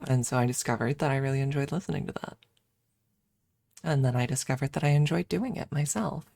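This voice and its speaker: A woman's voice: extremely low pitch, a little fast, gravelly, dark tone, no room sound. A woman streamer chatting casually on an ASMR stream, rambling and candid as she explains things, close to the microphone. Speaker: livestream host and ASMR streamer.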